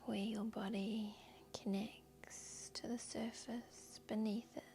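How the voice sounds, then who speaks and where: A woman guiding a meditation in a soft, breathy, whispery voice, speaking gently and soothingly with long unhurried pauses. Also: on the high side, little pitch movement. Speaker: yoga nidra guide and host. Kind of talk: guided meditation narration. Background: background music.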